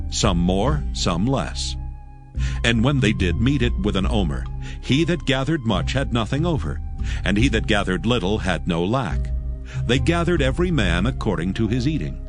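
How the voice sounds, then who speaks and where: Expressive low-voiced male scripture narrator. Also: normal speed, over music.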